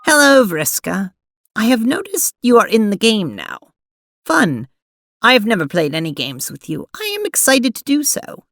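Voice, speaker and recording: A woman playing a prim, earnest alien seamstress in an audio drama, with formal, precise, deliberate diction. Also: fairly high pitch, huge pitch swings, fairly bright tone, dry recording, soft background music, heavily acted. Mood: polite, eager. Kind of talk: audio drama acting and narration.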